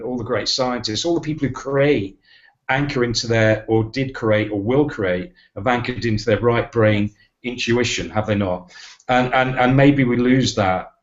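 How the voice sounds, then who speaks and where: A man hosting an online panel, rambling and self-deprecating, with frequent stammered restarts. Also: medium pitch. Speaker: host. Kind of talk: casual online panel chat. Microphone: video call. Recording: dull lo-fi video call audio.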